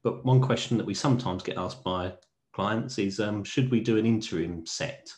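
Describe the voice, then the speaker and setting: Low-voiced man hosting a video-call panel. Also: a little mellow. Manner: measured, asks colleagues questions. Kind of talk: professional video-call discussion. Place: home room with teal wall. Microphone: earphone headset on video call.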